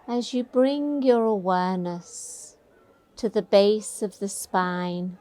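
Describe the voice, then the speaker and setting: A woman teaching a guided meditation, giving gentle, soothing, unhurried instructions. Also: medium pitch, no echo.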